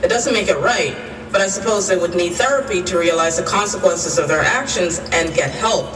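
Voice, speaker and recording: A woman's voice: fairly low pitch, a little fast, fairly bright tone, lightly acted. A woman playing a patient, thoughtful schoolteacher in a radio drama. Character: female schoolteacher, patient and thoughtful, leading class discussion. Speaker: teacher. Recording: echoey hall, distant, noisy.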